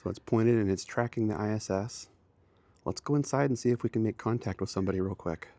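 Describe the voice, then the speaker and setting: A man with a fairly low voice talks through a technical setup in a casual, explanatory style. He is plain-spoken and matter-of-fact, going step by step like a hobbyist radio operator giving a tour. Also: fast, mellow.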